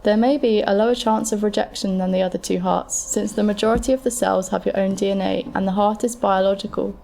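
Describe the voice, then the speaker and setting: A woman playing a calm, frank transplant scientist, explaining options in a measured, scripted way for an amateur audio drama. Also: medium pitch, gravelly, slight background noise, lightly acted. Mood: reassuring, frank. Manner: measured, matter-of-fact, explanatory.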